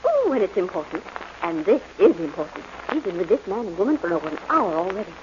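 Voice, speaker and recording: Actress as courteous, earnest woman, fast-talking, for a slightly hissy vintage radio mystery. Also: medium pitch, sing-song, close to an everyday voice. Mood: polite. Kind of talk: 1940s radio acting. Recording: dull, noisy 1940s radio recording.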